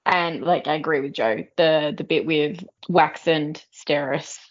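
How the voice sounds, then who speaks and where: A woman's voice: sits low, fairly even intonation, unhurried, lo-fi sound. A woman giving her take as a panelist in a casual book discussion, informal and appreciative. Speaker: podcast panelist.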